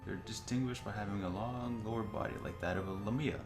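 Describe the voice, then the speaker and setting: A man's voice: lowish voice, quick pace. A man reading wiki entries aloud casually, with joking, sarcastic asides. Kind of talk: casual comedic reading with banter. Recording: roomy echo, music playing behind. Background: background music.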